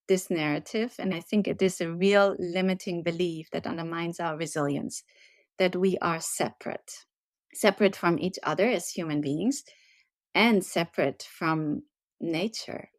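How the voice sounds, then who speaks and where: A woman from Germany, a resilience trainer, giving earnest, measured answers in a podcast interview. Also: fairly low pitch, no echo, clean studio sound. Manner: earnest, measured, gently emphatic. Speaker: resilience and leadership trainer, guest.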